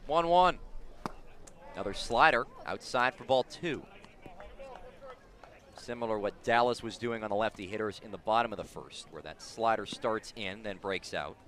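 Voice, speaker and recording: A man's voice: on the low side, normal speed, long pauses, a bit bright. A man doing live baseball play-by-play on the radio from the ballpark, in clipped calls that rise with the action. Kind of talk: live sports radio commentary. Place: outdoors at a ballpark.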